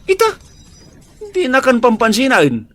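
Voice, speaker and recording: A man's voice: very high-pitched, huge pitch swings, measured pace, sharp tone, heavily acted. A man playing an easygoing man chatting at home, in a radio drama. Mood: chatty.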